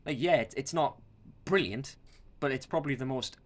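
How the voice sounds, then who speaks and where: Male podcast co-host, mid pitch, informal film chat, stop-start phrasing with repeated words. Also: normal speed. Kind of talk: casual chat about films and games.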